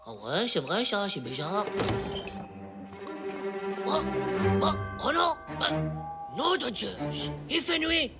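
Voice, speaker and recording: A woman playing an excitable, cocky ten-year-old schoolboy in a French cartoon dub, talking in an emphatic, childish way. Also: medium pitch, slow pace, long pauses, loud music behind the voice. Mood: alarmed.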